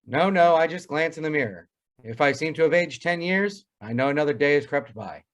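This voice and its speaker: Man playing a pompous radio-comedy doctor, higher-pitched. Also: animated, brisk, natural voice. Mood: wry. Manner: pompous, wordy, drily sarcastic. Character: wry, pompous family doctor with crusty wit. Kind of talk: old-time radio comedy acting.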